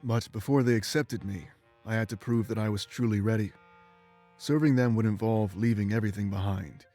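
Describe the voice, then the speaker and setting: An actor playing an ancient, remorseful immortal warrior in a video game, speaking in a solemn, measured, bitter way. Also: male voice, lowish voice, steady tone, warm tone, soft background music, lightly acted. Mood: grave, confessional. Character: ancient immortal former rebel warrior, remorseful and weary man. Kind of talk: dramatic game voice acting, confessional monologue.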